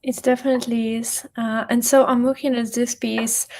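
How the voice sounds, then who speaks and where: A woman's voice: fairly high pitch, flat delivery, normal speed, a little mellow. A woman hosting a webinar interview over a video call, responding supportively and a little tentatively. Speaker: webinar host.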